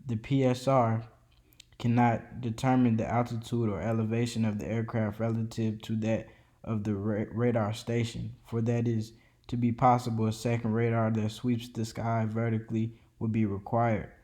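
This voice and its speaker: Flat, mellow-voiced man reading a lesson. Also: fairly low pitch, normal speed. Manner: reads steadily from a script, with small slips and restarts. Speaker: student presenter. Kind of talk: read-aloud educational narration.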